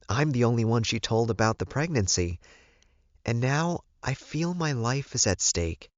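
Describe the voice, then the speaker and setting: A man's voice: mid-range voice, no echo, muffled recording, voice pushed up, natural voice. A man voicing a worried, dutiful male werewolf confiding earnestly, in audiobook dialogue. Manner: earnest, pleading, anxious.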